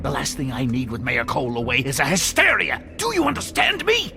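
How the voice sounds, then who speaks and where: An actor playing a pompous, petulant acting mayor in a video game, haughty and fussy, with theatrical enunciation. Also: male voice, medium pitch, sing-song, brisk, creaky, pushed and bright, soft background music, exaggerated voice. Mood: indignant, accusing.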